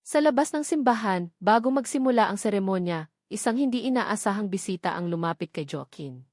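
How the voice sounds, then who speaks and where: A woman narrating a scripted romance audio drama in an even storytelling manner. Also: mid-range voice, no echo, clean studio sound.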